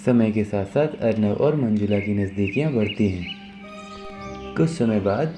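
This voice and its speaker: A man narrating a scripted moral story as its storyteller. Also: fairly low pitch. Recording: music behind the voice.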